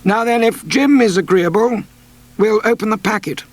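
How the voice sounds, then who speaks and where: A man reading a storybook aloud, voicing a calm, level-headed country doctor who asks pointed questions in a raised voice. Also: high voice, normal speed, voice pushed up. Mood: measured.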